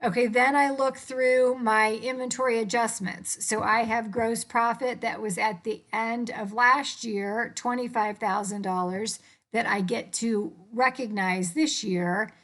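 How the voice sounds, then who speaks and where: Female instructor, slightly high voice, informal and explanatory, walking through worked problems. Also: steady tone, a little slow, fairly bright tone. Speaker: accounting instructor.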